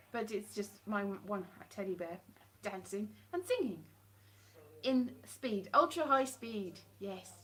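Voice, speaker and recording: Woman, 30s, casual live-stream chatter into a close webcam, self-mocking and sarcastic, slightly high voice. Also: sharp tone. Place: home hallway by a staircase, indoors. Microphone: webcam or phone at close range.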